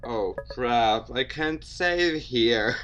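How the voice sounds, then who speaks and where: A man doing casual let's play commentary and reading visual novel text aloud, with an expressive delivery. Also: medium pitch, extremely slow, highs cut off, soft background music. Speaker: gaming video host.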